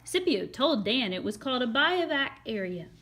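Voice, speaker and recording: High-pitched, animated woman reading a storybook. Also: normal speed. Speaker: read-aloud storyteller. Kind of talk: expressive children's picture book narration.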